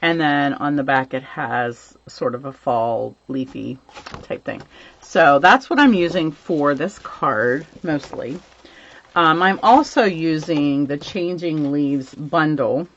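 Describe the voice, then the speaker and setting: Woman doing a live stamping class from home, kinda croaky, losing her voice, muffled stream sound. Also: low voice, slow, slight background noise. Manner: chatty, greets viewers by name, many ums. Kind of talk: casual live-stream chat and craft demo.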